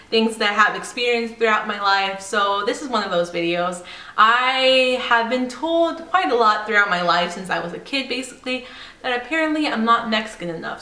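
A young woman vlogging at home, talking straight to the camera in an animated, chatty way. Her voice sits a little high and comes out bright and sharp, with the room echoing around her. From San Diego, United States. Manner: animated and chatty, laughing often. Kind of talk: casual talk to camera. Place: indoor home room by a staircase.

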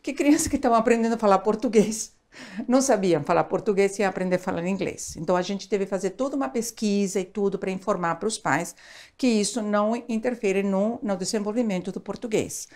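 A woman's voice: mid-range voice, fairly quick. An older woman from Argentina, a child education expert chatting animatedly as an interview guest, recorded on a lapel mic in a small studio. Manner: animated, gestures a lot, tag questions. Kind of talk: casual interview chat. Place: small studio, seated on a sofa.

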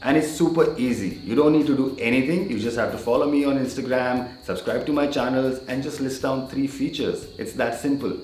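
A man's voice: medium pitch, brisk, hardly any pauses, a little mellow, roomy sound, rough recording. A man in his thirties talking to camera in an upbeat, chatty, emphatic way, as a casual video host. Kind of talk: casual vlog talk to camera, giveaway announcement. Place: indoor room with shelves. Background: faint background music.

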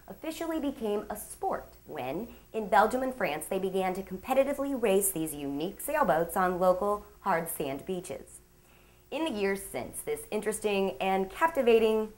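A woman hosting a boating magazine show, reading a scripted narration in an upbeat way with playful asides. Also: lowish voice. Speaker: show host.

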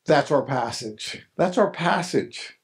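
An older male pastor delivers a sermon in a small chapel hall, picked up from a distance. His voice is high for a man and his pace is unhurried. He repeats phrases for weight and builds to pointed questions. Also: lively intonation. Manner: repeats key phrases, rises to emphatic questions.

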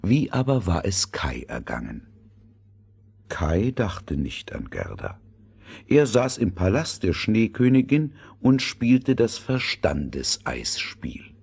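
Very deep, slow male storyteller. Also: huge pitch swings, vocal fry, a little mellow, husky. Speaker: narrator. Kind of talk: scripted fairy-tale narration.

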